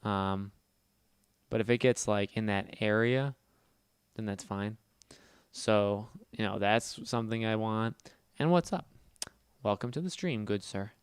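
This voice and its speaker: A man hosting a casual gaming livestream, talking fast and loud with a nasal voice and rambling as he answers chat. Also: lowish voice, clear, resonant tone, dry recording, clean studio sound. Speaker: livestream host.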